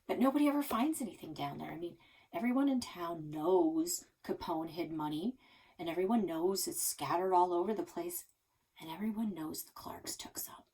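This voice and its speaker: A woman's voice: on the low side, normal speed, a little mellow, slightly rough, rough recording. A woman voicing a casual, chatty local boy from a children's book, offhand and knowing. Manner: offhand, slangy, hesitant fillers.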